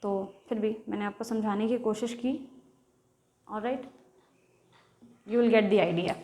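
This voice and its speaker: A woman's voice: mid-range voice, steady tone, slow pace, warm tone. Woman teaching English in an echoey room. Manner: explains in Hindi, mixing in English words. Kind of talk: bilingual classroom lecture.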